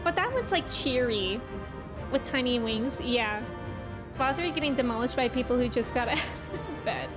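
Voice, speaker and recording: A woman's voice: sits high, expressive, moderate pace, music in the background. A woman chatting casually and playfully as she livestreams a video game, reacting and greeting viewers.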